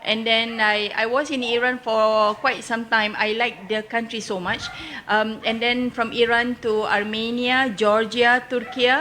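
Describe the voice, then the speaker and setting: A Malaysian-accented woman speaks as an interview guest in a fairly high voice. She recounts her travels step by step, haltingly and off the cuff, with ambient noise behind her. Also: steady tone, sharp tone. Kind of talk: unscripted interview answers, travel storytelling.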